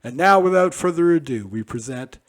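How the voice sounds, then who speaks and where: Animated male radio host. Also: a little high, fairly quick. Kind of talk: scripted narration.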